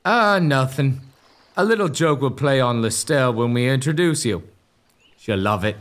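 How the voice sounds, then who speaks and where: A scheming, confident young suitor acted by a man in a comic gothic audio drama. He speaks in a middling pitch, smooth-talking and brisk as he gives orders. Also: lively intonation, fairly bright tone, natural voice. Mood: sly, commanding.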